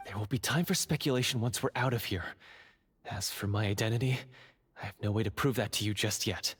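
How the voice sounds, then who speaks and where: Very husky, secretive dungeon guard. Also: male voice, fairly low pitch, a little fast. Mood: urgent, firm. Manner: hushed, urgent, formal. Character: secretive male dungeon guard, urgent undercover ally.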